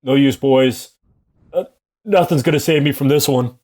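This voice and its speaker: A man playing a gruff, practical army captain with a commanding, matter-of-fact manner in a comedic radio play. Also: mid-range voice, moderate pace, a little mellow, lightly acted. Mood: resigned.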